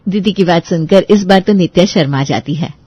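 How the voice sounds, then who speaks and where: A woman's voice: on the low side, a little fast, husky, dry recording, dull audio. A woman narrating a scripted Hindi family bedtime story.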